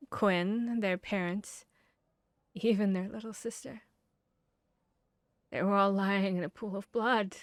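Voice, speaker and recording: A woman playing a wry, haunted young vampire hunter, confiding softly and hesitantly in an ASMR fantasy roleplay. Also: medium pitch, no room sound, close to an everyday voice.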